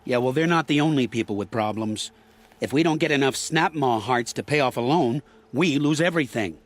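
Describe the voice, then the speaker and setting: A man acting a debt-ridden farmer in a video game, cornered and stubborn. He speaks at a middling pitch with a defensive, insistent edge. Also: brisk, natural voice. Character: desperate, stubborn debt-ridden male farmer turned hunter. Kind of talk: video game cutscene dialogue.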